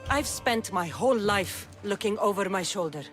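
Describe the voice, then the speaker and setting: A woman's voice: mid-range voice, animated, normal speed, soft background music, natural voice. A woman voicing a blunt, determined young Spartan mercenary in dramatic video game acting. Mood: blunt, wry. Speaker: actor voicing a game character.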